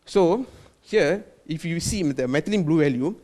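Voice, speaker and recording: A man with a Malaysian accent speaks in a fairly high voice, presenting technical details at a product launch. He pauses often with fillers and restarts, slipping in the odd Malay word. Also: lively intonation, mellow. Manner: hesitant, many fillers and restarts; mixes in Malay words. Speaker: quality control manager.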